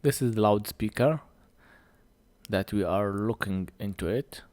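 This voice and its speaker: Man, non-native English, lowish pitch, unhurried halting tutorial delivery, short of breath, dry sound. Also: darkish tone, no echo. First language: not English. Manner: halting, short phrases with pauses. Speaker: phone repair technician presenting a tutorial. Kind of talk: hands-on repair tutorial, unscripted commentary.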